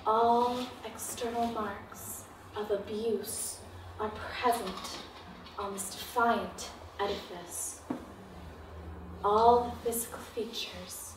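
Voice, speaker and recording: A woman reads poetry aloud extremely slowly, in short, deliberate phrases. She is some way from the mic in an echoey room. Her voice sits at a medium pitch. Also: fairly even intonation. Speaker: poetry reader. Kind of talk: slow poetry recitation. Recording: echoey room, distant mic, faint noise.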